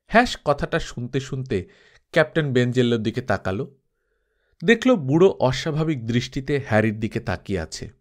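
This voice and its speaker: A man reading a scripted story as an expressive narrator. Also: mid-range voice, moderate pace.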